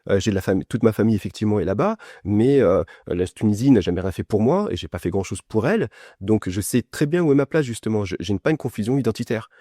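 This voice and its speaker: A man from France with a lowish, mellow voice speaks as a guest in a conversation. He explains things in a personal way, restarting phrases as he goes. The sound is dry, with very little room. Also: expressive, quick pace, no echo. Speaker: podcast guest, essayist and journalist. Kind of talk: conversational interview answer, personal account.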